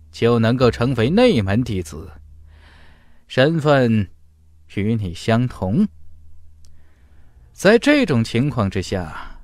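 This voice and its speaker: A man's voice: mid-range voice, a little mellow, no echo, studio quality. A man voicing an arrogant young male cultivator, a former servant, in a cold, threatening manner for an audiobook.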